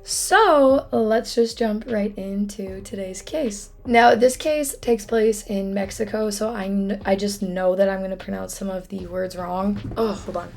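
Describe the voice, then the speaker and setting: Young woman, hoarse froggy voice, gravelly, true crime host, chatty with apologetic asides, faint music behind. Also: mid-range voice, fairly even intonation, measured pace, few pauses, darkish tone. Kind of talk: casual true crime storytelling.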